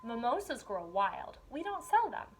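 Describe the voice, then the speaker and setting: Woman reading as a brusque garden-store man, high-voiced. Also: sing-song, measured pace, slight background noise. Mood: curt, condescending. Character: curt, condescending man at a garden store in a storybook.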